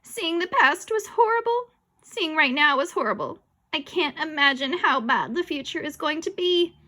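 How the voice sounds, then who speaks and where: Schoolboy, played by a woman: high-pitched, whiny, scared, defiant, exclamatory, very animated. Children's audiobook. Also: slow, heavily acted. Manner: shrieking, quivering, exclamatory. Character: frightened, defiant young schoolboy, whiny and melodramatic.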